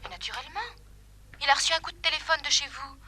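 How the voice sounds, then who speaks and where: An actress playing a polite, worried young daughter in a 1960s French radio play, speaking insistently and courteously. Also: female voice, high-pitched, quick pace, background noise, natural voice.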